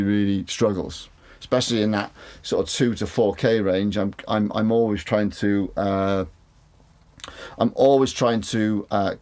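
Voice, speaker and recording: Male engineer, lowish big voice, loose rambling chat to camera with the odd swear. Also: a little mellow. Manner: rambling, repeats words, swears casually. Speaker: mixing engineer reviewing studio monitors. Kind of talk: casual talk to camera. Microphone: studio condenser mic.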